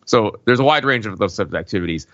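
A man with a slightly low voice speaks casually on a podcast panel, feeling his way haltingly through his thoughts. The sound is very dry and a bit dull. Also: animated, a bit bright, dry recording. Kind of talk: casual discussion.

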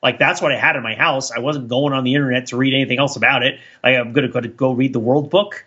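A man co-hosting a podcast in casual, reflective discussion, thinking aloud in a halting, rambling way with repeated words. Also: mid-range voice, steady tone, sharp tone, breathy edge, dull audio. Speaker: podcast co-host.

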